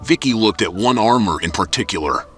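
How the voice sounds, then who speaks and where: Male narrator, steady, lowish voice, audio drama with music under. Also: a little fast.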